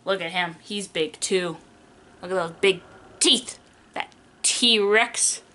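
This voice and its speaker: A woman's voice: medium pitch, slow pace, pushed and bright, a little hiss or hum. A young adult woman, a preschool teacher doing a picture book read-aloud in an exaggerated, child-directed way, stretching key words.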